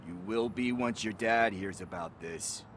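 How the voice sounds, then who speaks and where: A man's voice: fairly low pitch, measured pace. An actor playing a scolding, streetwise policeman in a video game. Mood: scolding, alarmed. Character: scolding, streetwise adult cop. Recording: loud street and vehicle noise behind.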